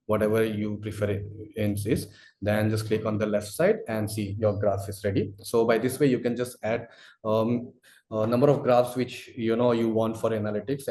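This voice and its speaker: A man presenting a product demo in a webinar, speaking haltingly with frequent "you know" fillers. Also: fairly low pitch, little pitch movement, mellow. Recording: roomy, echoing sound.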